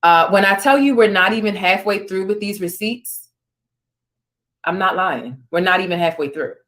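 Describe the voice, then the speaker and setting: A woman's voice: mid-range voice, normal speed, a bit bright. A woman hosting an online news stream, reading screenshots aloud and commenting in a conversational, informal way. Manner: conversational, informal, with asides and reactions.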